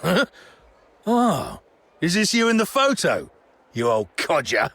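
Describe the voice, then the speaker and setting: An actor playing a bullying checkpoint guard in a video game, contemptuous and barking orders. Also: male voice, high voice, huge pitch swings, takes it slowly, vocal fry, pushed and bright, full character voice. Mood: mocking. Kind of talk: video game character acting.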